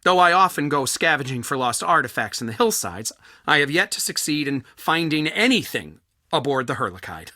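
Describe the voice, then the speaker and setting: A man's voice: fairly high pitch, sing-song, brisk, sharp tone, no room sound, studio quality. A man playing an eccentric, anxious, bookish museum curator in a video game, with a theatrical, wordy and wryly dramatic delivery. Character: eccentric, bookish, anxious male culture center curator Soren.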